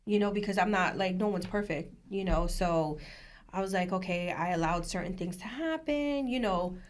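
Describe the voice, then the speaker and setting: Woman podcast guest, lively and chatty, laughs a lot, mid-pitched voice, plays out quotes in her stories. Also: moderate pace. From Puerto Rican family, from New Jersey. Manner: animated storyteller, laughs often, acts out quotes. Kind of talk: casual interview chat.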